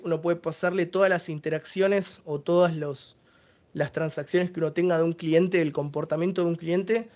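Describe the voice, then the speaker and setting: A man's voice: on the high side, darkish tone, dull audio. A man with an Argentine accent giving a technical webinar presentation, explaining each service step by step.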